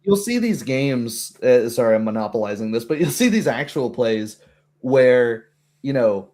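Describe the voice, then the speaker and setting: A man talking casually as a game master about a tabletop roleplaying session, in an animated, rambling way. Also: medium pitch, a little slow.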